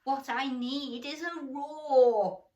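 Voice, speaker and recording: Small hopeful storybook mouse played by a woman reader, pitched up and exclaiming, earnest, heard in a roomy space. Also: fairly even intonation, very slow, mellow. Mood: excited, hopeful. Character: tiny meek male mouse, earnest and hopeful storybook hero. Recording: roomy echo, distant microphone.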